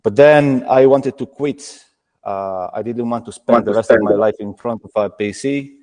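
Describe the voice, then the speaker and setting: Halting man with reverb. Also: lowish voice, a crowd in the background. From Italy. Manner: halting, many restarts and fillers. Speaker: craft brewer, guest. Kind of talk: casual video call chat.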